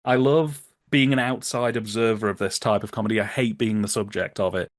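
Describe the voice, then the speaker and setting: A man in casual conversational commentary, telling anecdotes in an amused, animated way. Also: fairly low pitch, a little fast, no room sound, studio quality. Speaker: podcast guest.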